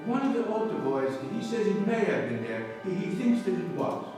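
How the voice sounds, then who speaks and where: Man acting a gentle priest in an echoing hall. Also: high-pitched, big pitch swings, a little fast. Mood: earnest, hesitant. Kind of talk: old-time radio drama acting. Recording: very echoey hall, distant mic, music.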